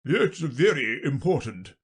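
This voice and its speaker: A narrator voicing a pompous, muddle-headed old king, loud and emphatic, in a storybook reading. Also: mid-range voice, very animated, slow, breathy edge, pitched above the performer's own voice, exaggerated voice. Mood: muddled, self-important. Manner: loud, emphatic, self-important.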